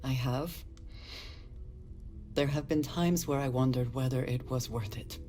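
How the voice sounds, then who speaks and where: A woman voicing a guarded, solemn space explorer from a hard upbringing in a video game, speaking formally and deliberately. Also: very deep voice, warm tone, faint music behind. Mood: grave, reflective. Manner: earnest, formal diction, deliberate. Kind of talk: measured dramatic game dialogue.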